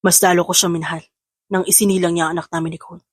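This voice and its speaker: An intense, possessive man from a romance audio drama, acted out by a woman. The voice is husky and rather low, the delivery fast, recorded close and dry. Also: flat delivery, no room sound. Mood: earnest, lovestruck. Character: intense, possessive young man, threatening and lovestruck. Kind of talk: scripted audio drama acting in Tagalog.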